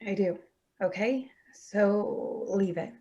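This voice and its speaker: A woman's voice: medium pitch, slow pace, dark tone, dull audio, lightly acted. A woman playing an exasperated, defensive adult daughter in a staged play reading, speaking tersely and bristling.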